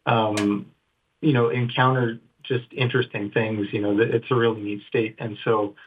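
A man's voice: lowish voice, level tone, normal speed, slight background noise. A middle-aged man from northern Idaho, a geologist guest, talking casually in an interview with many fillers and restarts. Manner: hesitant, many fillers and restarts, soft-spoken. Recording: tinny phone-like line.

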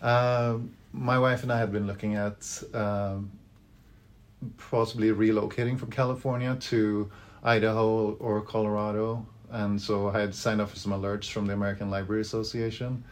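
Low, flat-voiced Swedish man. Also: normal speed. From Sweden. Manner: thoughtful, hesitant with frequent fillers and restarts. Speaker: library director. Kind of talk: interview answers.

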